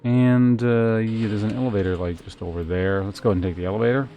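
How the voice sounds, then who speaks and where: A man's voice: fairly low pitch, steady tone, fast, warm tone, slight background noise. A man giving casual live game commentary as a streamer, thinking aloud and muttering to himself as he plays.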